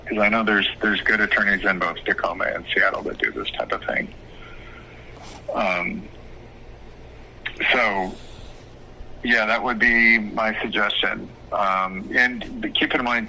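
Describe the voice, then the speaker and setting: A man speaking as an attorney, giving advice on a phone call in a measured, hesitant, explanatory way. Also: lowish voice, fairly even intonation, pushed and bright. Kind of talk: phone consultation, casual advice. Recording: phone call with faint noise.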